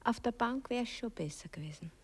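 An actress playing a brash, coaxing streetwalker in a radio play, with slangy, often hushed Viennese speech. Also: female voice, on the high side, expressive, a little fast, breathy edge. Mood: teasing. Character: brash, coaxing female streetwalker, earthy and teasing. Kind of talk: 1960s German radio play acting. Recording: noisy 1960s radio drama recording.

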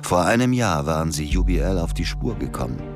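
An actor playing a male American soldier narrating in a measured, matter-of-fact way in a radio drama. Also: low-pitched, lively intonation, music in the background. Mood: sardonic, grave. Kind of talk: scripted first-person narration in a radio play.

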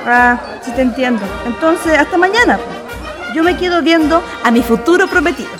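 Actress doing high voiced lovesick chilean girl in an old-time radio drama, sighy n gushing, music and crowd behind. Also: animated, moderate pace. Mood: coy, pleading. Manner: sighing, gushing, theatrical. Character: lovestruck, dreamy, bold young woman in old-time Chile. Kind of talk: radio play acting. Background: band music and crowd.

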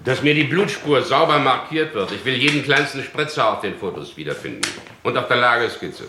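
A man's voice: mid-range voice, expressive, brisk, background noise, lightly acted. An actor playing a stern, probing chief inspector in a radio crime play, commanding and dryly pointed in his questioning. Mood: barking orders.

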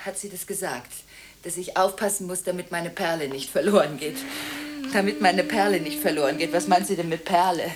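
A woman's voice: lowish voice, a little fast, close to an everyday voice. An actress playing an agitated, contradictory woman in a German radio drama, talking impulsively through one side of nonstop phone calls. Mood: hushed, brooding. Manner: breathless, impulsive, swinging between protest and hushed brooding.

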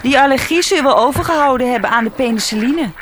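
A woman doing a bitter homebody sister, clipped, higher voice, dutch, noise behind. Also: quick pace, lightly acted. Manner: clipped, reproachful. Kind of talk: radio drama acting.

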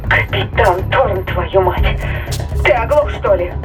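An actress playing an excitable, anxious female spaceship crew member, heard through a radio in a science fiction audio drama. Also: medium pitch, slow pace. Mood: angry. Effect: radio transmission filter. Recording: distant radio-link voice over music.

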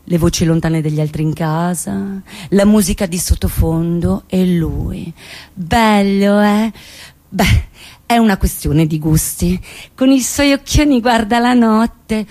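A woman's voice: mid-range voice, slow, a little mellow, no echo. An actress playing a wry woman who recounts her lovesick teenage years in a dramatic, sardonic theatre monologue. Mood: wistful. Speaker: stage actress.

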